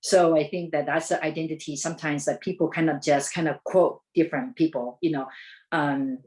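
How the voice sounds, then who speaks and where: A woman from Taiwan with a Taiwanese accent, speaking as an advocate in an informal presentation, haltingly, often rephrasing as she goes. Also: low-pitched, fairly even intonation, darkish tone. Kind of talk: conversational presentation.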